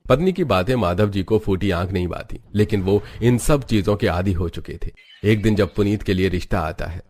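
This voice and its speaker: A man's voice: deep voice, normal speed, darkish tone. A man narrating a scripted family cartoon story. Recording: background music under the voice.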